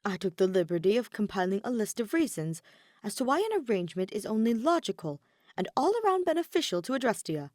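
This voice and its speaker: A woman acts out a young nobleman from an audiobook tale, earnest and nervous. His speech is formal and wordy, with lively swings of pitch, recorded dry and close. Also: quick pace, no room sound, natural voice. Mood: eager, formal. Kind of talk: audiobook-style fan fiction character reading.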